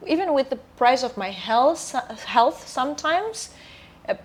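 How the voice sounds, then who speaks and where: A woman's voice: sits high, big pitch swings, measured pace. Reflective Romanian woman, a soprano guest. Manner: reflective, hesitant, many fillers and restarts. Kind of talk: casual interview chat.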